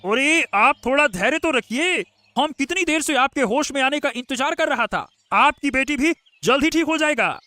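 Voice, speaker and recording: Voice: high-pitched, animated, moderate pace, sharp tone, no room sound. An actor playing a simple, eager rustic helper in a storybook drama, placating in colloquial, ungrammatical speech. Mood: soothing, eager.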